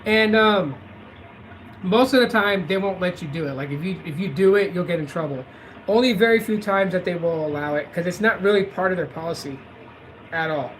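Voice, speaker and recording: A man's voice: sits high, fairly quick, a little hiss or hum, poor audio. Rambling man on a livestream. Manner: rambling, repeats words, drifts between loud and quiet. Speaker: livestream host. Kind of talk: casual livestream rant.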